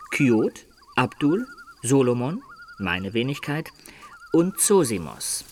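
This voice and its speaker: An actor playing a wry medieval adventurer who recounts his quest in a radio drama, in an ironic, expressive storytelling manner. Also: medium pitch. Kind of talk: German radio drama narration.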